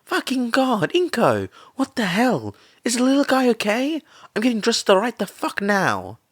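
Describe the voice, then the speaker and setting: A man's voice: very high voice, huge pitch swings, a little slow, a bit bright, dry recording, studio quality, pitched above the performer's own voice, exaggerated voice. A man voicing a brash, foul-mouthed, protective woman in an audio story, blunt and urgent. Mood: panicked.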